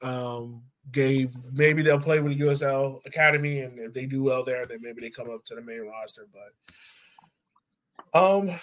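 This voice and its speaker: A man with a medium-pitched voice hosts a casual sports chat. He talks informally in the role of a podcast host. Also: measured pace, a bit bright.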